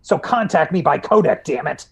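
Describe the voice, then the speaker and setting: A man playing a gruff, urgent stealth soldier from a video game, with a raspy, gravelly voice. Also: on the high side, expressive, moderate pace, exaggerated voice. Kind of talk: video game character acting.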